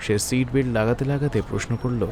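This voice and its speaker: A man's voice: mid-range voice, fairly even intonation, fairly quick, a little mellow, slight background noise. A man narrating a scripted detective story in a dramatic storytelling style.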